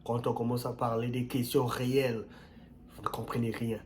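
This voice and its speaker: A man hosting his own channel, giving earnest advice in a casual way, repeating key phrases and jumping up in pitch on stressed words. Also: little pitch movement, normal speed, a little hiss or hum. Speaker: YouTube channel host.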